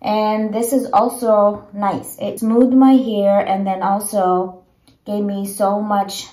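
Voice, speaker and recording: A woman's voice: medium pitch, little pitch movement, takes it slowly. Woman vlogging her hair routine, lots of ums, bit roomy sounding. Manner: chatty, filler-heavy, gentle. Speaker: beauty vlogger. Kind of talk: casual hair tutorial. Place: small bedroom or dressing room at home. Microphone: phone camera propped low. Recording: echoey small room.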